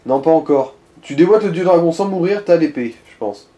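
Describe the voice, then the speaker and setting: A man's voice: fairly high pitch, lively intonation, a little fast, warm tone. A man giving casual, slangy Let's Play commentary and coaching a player, with some swearing. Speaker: gaming co-host guiding a player.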